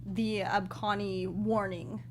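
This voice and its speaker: A woman's voice: medium pitch, flat delivery, takes it slowly, slight background noise. A woman co-hosting a casual film discussion with playful, sarcastic banter. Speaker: podcast co-host.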